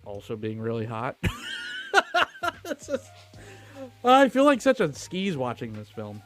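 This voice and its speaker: A man chats as co-host of a film review podcast in a mid-pitched voice. His talk is casual and profane, with music playing underneath. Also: huge pitch swings, unhurried.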